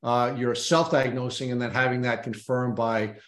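A man's voice: medium pitch, steady tone, moderate pace. A man co-hosting a podcast, introducing his guest in a friendly, enthusiastic way, with some ums between phrases. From Long Island, New York. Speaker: podcast co-host. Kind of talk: interview hosting. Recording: roomy echo.

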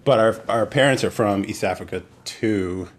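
A man's voice: lowish voice, moderate pace. A young man hosting a casual podcast, joking and laughing often. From United States. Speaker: podcast host.

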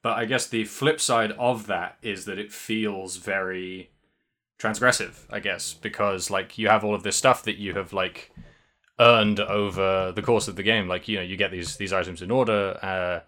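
A man's voice: fairly low pitch, steady tone, moderate pace, pushed and bright. A young man co-hosting a podcast, chatting casually about a game in a rambling, hesitant way with many fillers. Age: young adult. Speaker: podcast co-host.

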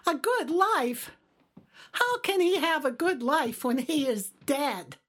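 A woman's voice: high voice, lively intonation, takes it slowly, fairly bright tone, heavily acted. A woman playing a fretful, stubborn older mother and wife in an audio drama. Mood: despairing, scolding.

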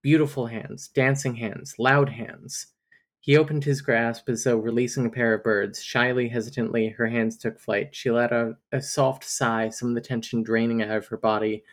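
A woman's voice: a bass voice, fairly even intonation, moderate pace. A woman co-hosting a casual book podcast, recapping chapters and reading passages aloud, laughing often. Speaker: podcast co-host.

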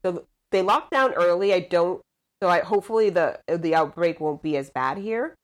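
A young woman from Puerto Rico talks as a guest on a casual podcast, her voice sitting a little low. She rambles and restarts, leaning on "like" and "um" as she thinks aloud. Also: normal speed. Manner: rambling, hesitant, full of "like" and "um". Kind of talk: casual chat over a call.

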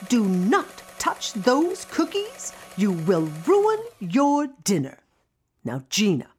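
A woman playing a bossy, warm mother in an audio drama, instructive and mock-solemn in a theatrical way. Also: medium pitch, huge pitch swings, slow pace, slight background noise. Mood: playful scolding. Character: Gina's warm, bossy mother, playfully imparting kitchen wisdom.

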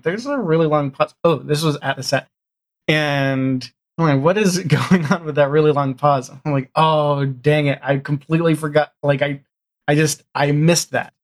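A man chatting casually as a podcast guest, with a rambling, self-deprecating, chuckly manner. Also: fairly high pitch. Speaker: podcast guest, audio drama showrunner. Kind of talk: casual interview chat.

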